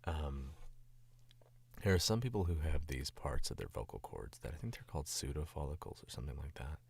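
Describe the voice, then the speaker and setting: Very deep-voiced Southern man, sick. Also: expressive, a little fast, gravelly, slightly rough, no echo. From Southern United States. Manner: rambling and distracted, chuckling often. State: sick, voice dropped lower. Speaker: voice actor streamer. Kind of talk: casual stream chat.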